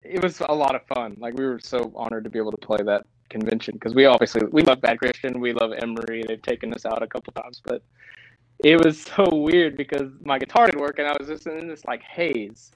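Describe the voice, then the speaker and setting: A man's voice: medium pitch, brisk, dry recording, poor audio. Young man, from Tennessee, chatty, laughs a lot, dry recording. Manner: animated, laughs often, lots of "like". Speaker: lead singer, guest. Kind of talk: casual storytelling interview.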